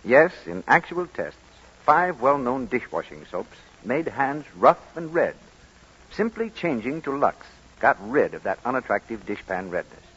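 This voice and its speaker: An American male announcer from 1940s radio with a bright, punchy voice that rises and falls animatedly. He reads scripted copy with polished emphasis, on a dull vintage recording. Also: medium pitch. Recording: muffled, raspy 1940s radio broadcast recording.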